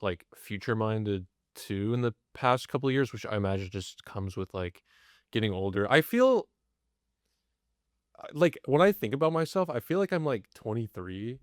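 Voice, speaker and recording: A man's voice: on the low side, animated, moderate pace, no room sound, clean studio sound. A man in his late twenties co-hosting a podcast, chatting casually and hesitantly searching for his words. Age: 20s. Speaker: podcast co-host. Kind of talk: casual chat.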